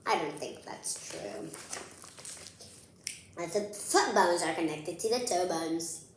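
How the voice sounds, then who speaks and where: A woman reading a picture book aloud to children in an expressive, playful way, speaking directly to her young listeners. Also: fairly low pitch, slow, slight background noise. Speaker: children's read-aloud host. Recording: very echoey room.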